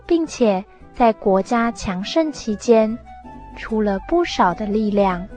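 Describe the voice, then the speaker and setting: A woman narrating a scripted radio drama in a measured storytelling manner. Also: fairly high pitch.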